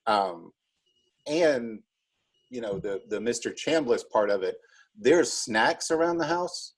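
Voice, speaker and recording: A man's voice: mid-range voice, lively intonation, takes it slowly, poor audio. An American man, a drama teacher, chatting casually as an interview guest in a rambling, wry, easygoing way.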